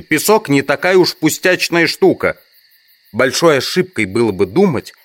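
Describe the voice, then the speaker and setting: A man playing a trapped, brooding entomologist in an audio drama, with deliberate, dramatic phrasing. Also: fairly high pitch, a bit bright. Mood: indignant. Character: trapped male entomologist, indignant, brooding, rational. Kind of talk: audio drama acting with inner monologue. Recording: music behind the voice.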